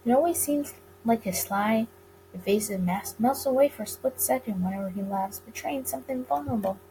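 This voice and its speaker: A woman with a fairly high voice reads a story game aloud as a let's player. She delivers the narration dramatically and drops in casual remarks. There is noticeable background noise and hum in the recording. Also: a little fast, dark tone, rough recording. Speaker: let's player reading a visual novel aloud. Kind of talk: dramatic reading of game text with casual asides.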